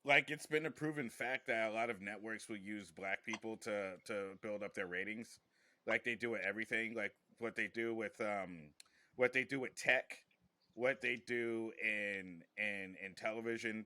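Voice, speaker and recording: A man's voice: fairly low pitch, steady tone, normal speed, pushed and bright, no room sound. A man hosting a podcast, chatting casually and informally with some swearing. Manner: informal, profane. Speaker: podcast host.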